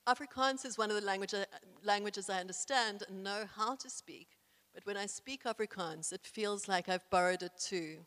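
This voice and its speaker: A young woman from South Africa with a South African accent and isiXhosa as her first language, reading her own essay aloud in an earnest, proud, deliberate way. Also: mid-range voice, a bit bright. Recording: echoey room with long reverb.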